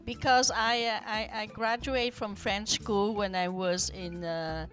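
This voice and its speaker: A woman's voice: medium pitch, fairly even intonation, measured pace, a bit bright, faint music behind. A woman in her seventies, a native Vietnamese speaker, talking as a podcast guest in hesitant English full of fillers. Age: 70s. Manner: hesitant, many fillers, non-native grammar. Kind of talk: casual interview chat.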